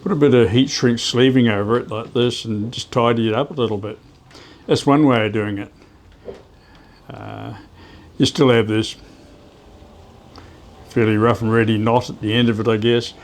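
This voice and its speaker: Male hobbyist presenter, medium pitch, casual practical demonstration with a hesitant, halting delivery. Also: steady tone, a little hiss or hum. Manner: hesitant, halting, talking while working with his hands. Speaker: ham radio hobbyist presenting DIY tips. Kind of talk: hands-on how-to demonstration, casual.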